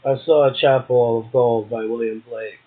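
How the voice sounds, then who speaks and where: A man's voice: medium pitch, fairly even intonation, moderate pace. A man hosting a poetry show, reading verse and commenting casually between poems. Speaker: poetry show host and poet. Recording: muffled, narrow phone-like sound.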